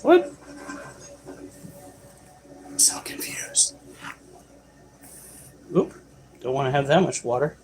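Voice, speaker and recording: Slow, chatty man streaming. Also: medium pitch, huge pitch swings, darkish tone, slight background noise, low-quality recording. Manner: chatty, explains tarantula care while answering chat. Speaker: livestream host and pet keeper. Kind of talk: casual livestream chat.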